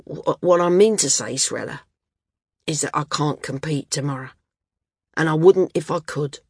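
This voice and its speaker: A woman acts out an ailing but hearty storybook man. The delivery is loud and frank, low and husky, recorded very dry. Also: moderate pace, no room sound. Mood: frank, rueful. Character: booming, hearty, good-natured ailing man.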